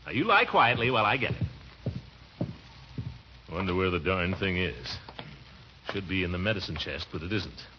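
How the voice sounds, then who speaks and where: An actor playing a fussy, affectionate middle-aged uncle in a theatrical, blustery 1940s radio comedy style. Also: male voice, low voice, expressive, normal speed, pauses often. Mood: fussy, flustered. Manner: blustery, theatrical, with swooping exclamations and chuckles. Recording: muffled, noisy vintage radio recording.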